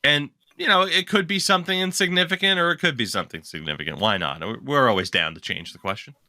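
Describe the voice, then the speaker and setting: A man's voice: medium pitch, fast talker, sharp tone, dry recording, clean studio sound. A young man co-hosting a podcast, chatting casually, rambling and correcting himself as he goes, swearing now and then. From lives in Maine. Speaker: podcast co-host. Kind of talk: casual chat.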